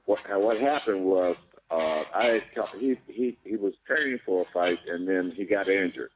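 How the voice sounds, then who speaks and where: A man's voice: deep voice, lively intonation, unhurried, a crowd in the background. A man, a boxing trainer, answering interview questions plainly and repeating words as he goes. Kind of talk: interview answers over a call. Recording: dry muffled call audio.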